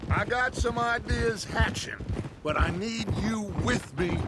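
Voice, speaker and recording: Western game gang leader: American, middle-aged, high register, silver-tongued, grand and charismatic, noisy outdoor bed. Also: male voice, unhurried. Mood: earnest, persuasive. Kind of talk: theatrical video game western acting. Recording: noisy outdoor ambience with horse hooves.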